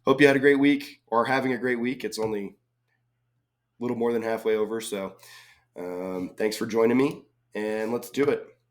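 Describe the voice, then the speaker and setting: A man with a slightly hoarse voice from a cold, casually thinking aloud as he solves a crossword, reading clues and muttering guesses. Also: medium pitch, normal speed. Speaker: crossword solving video host.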